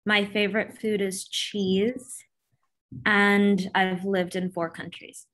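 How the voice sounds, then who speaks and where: A woman's voice: medium pitch, level tone, slow pace, a bit bright. A woman casually and hesitantly introducing herself on a group video call.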